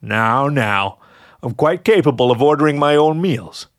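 A man's voice: medium pitch, expressive, a little slow, voice pushed up. A man voicing a cheeky, flirty male fox who gets defensive and stammers playfully in an audio story. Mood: teasing. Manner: stammering, hesitant when embarrassed, playful.

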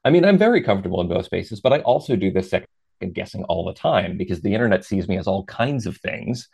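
A man in his thirties with a deep voice, a podcast guest talking things through in a reflective, measured way with lively asides. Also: gravelly, breathy edge. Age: 30s. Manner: reflective and measured, lifts into animated quoted asides. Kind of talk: casual interview chat.

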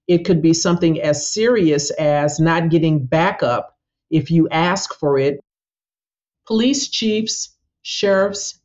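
A woman speaking in a measured, emphatic way as she explains her views in an interview. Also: deep voice, a little mellow, lo-fi sound.

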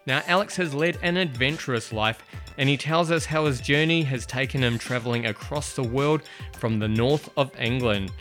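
A man hosting a podcast, reading a measured intro then asking polite questions. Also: medium pitch, a bit bright, soft background music. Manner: measured, polite, many short backchannels. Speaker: podcast host, interviewer. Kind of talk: scripted intro then interviewing.

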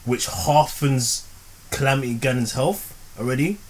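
A man co-hosting a casual podcast, telling stories in an animated way with quick asides. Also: mid-range voice, poor audio. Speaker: podcast co-host.

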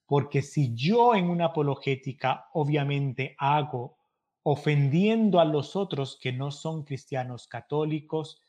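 A male priest talks through church teaching in an earnest, insistent way. He hammers home his points by repeating them. His voice sits a little higher than most men's. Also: a little slow, a little mellow. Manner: earnest, emphatic, repeats key phrases for stress. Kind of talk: informal teaching monologue.

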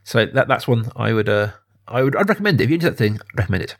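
A man's voice: mid-range voice, sing-song, rapid-fire, gravelly. A man co-hosting a casual film podcast, talking in a conversational, self-deprecating way. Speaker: podcast co-host.

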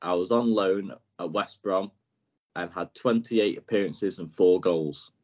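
A man's voice: low voice, unhurried, a little mellow. A man running a football quiz on a podcast, laid-back, reading clues plainly and chuckling often. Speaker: podcast quizmaster. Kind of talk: casual quiz chat. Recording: dull lo-fi podcast audio.

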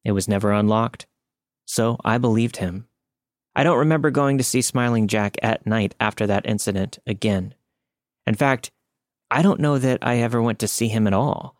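A man's voice: mid-range voice, normal speed, no room sound, studio quality. A man reading a scary first-person story as scripted podcast narration.

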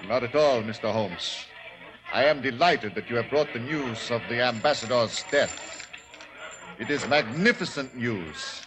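A man playing a smug, cold-blooded gentleman gambler in a 1940s radio drama, speaking formally and deliberately. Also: mid-range voice, lightly acted, rough recording. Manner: formal, deliberate, self-satisfied.

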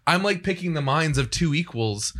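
A man's voice: a little high, animated, normal speed, fairly bright tone. A man hosting a casual studio podcast conversation in a joking, self-deprecating way. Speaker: podcast host. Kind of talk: casual podcast interview chat.

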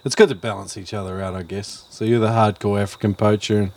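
A man's voice: fairly low pitch, fairly even intonation, quick pace, a little mellow. A man in the role of interviewer. He talks in a casual, conversational way, putting questions to guests and responding informally. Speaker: interviewer, host.

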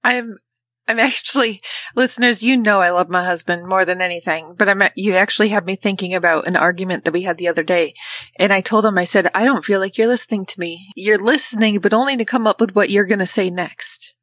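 A woman's voice: medium pitch, a little fast, no echo. A woman hosting a podcast, chatty and friendly, often turning to speak straight to her listeners. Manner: warm, chatty, addresses listeners directly. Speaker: podcast host. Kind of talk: casual interview hosting.

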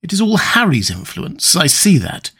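A man's voice: sits high, huge pitch swings, moderate pace, sharp tone, slightly rough, pitched above the performer's own voice, exaggerated voice. A man reading a classic novel aloud, voicing an earnest, morally shocked older painter who speaks with pained, emphatic reproach. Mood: appalled, pleading.

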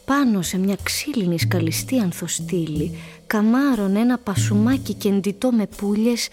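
A woman narrator reading scripted literary prose for a radio play in a measured, storytelling manner. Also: medium pitch, over music.

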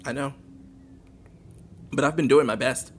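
Stern, protective guardian. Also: female voice, a bass voice, measured pace, husky, heavily acted. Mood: grave, subdued. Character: protective, worried adult guardian of a teenage girl. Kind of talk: naturalistic audio drama acting.

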